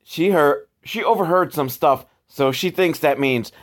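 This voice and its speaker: A man's voice: on the high side, unhurried. A man hosting a casual comedic commentary on old films, with a sarcastic, profane way of talking. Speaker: host reviewing old educational films.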